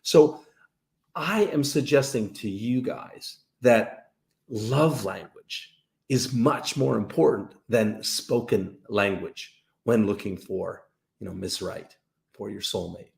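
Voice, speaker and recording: A man hosting a casual dating-advice livestream, explaining things in a halting, repetitive, emphatic way to viewers. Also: fairly low pitch, gravelly, darkish tone. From Canada. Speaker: host of a live dating-advice show. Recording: roomy, echoey sound.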